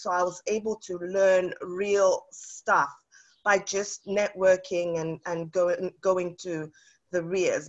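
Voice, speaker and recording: A woman's voice: fairly low pitch, lo-fi sound. Woman from South Africa. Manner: chatty, frequent fillers and restarts. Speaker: guest real estate investor. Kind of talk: casual interview.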